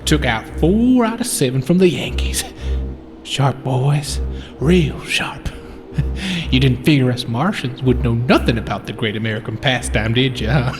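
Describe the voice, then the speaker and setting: A man's voice: mid-range voice, expressive, measured pace, over music, lightly acted. A man playing a mocking, cackling old grandfather in an amateur radio play. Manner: cackling, teasing, drawling. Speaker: student radio play actor.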